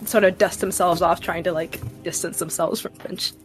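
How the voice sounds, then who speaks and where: A woman playing a tabletop game, speaking casually in a halting, flustered way. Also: fairly low pitch, steady tone. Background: background music.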